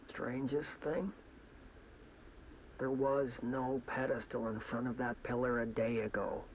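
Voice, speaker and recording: A weary, wry male researcher narrates a game journal in a low, flat voice. He takes his time over each measured phrase, with noisy ambience behind him. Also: gravelly. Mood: weary, uneasy. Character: weary middle-aged male researcher recording a grim expedition journal.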